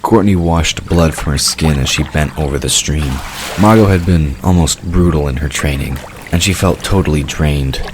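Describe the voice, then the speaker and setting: A man reading scripted narration for a fantasy audio drama in a measured storytelling manner. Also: a bass voice, slight background noise.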